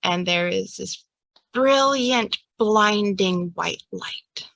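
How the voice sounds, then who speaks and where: A woman's voice: mid-range voice, extremely slow, vocal fry, fairly bright tone, no room sound, dull audio. A woman narrating an improvised tabletop game as game master.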